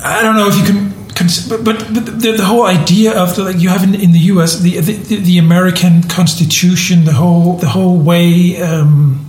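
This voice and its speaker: A man's voice: high voice, steady tone, a little fast, few pauses, a little mellow, roomy sound. A man from Denmark talking casually on a podcast, often restarting and repeating words as he thinks. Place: room in southern Germany.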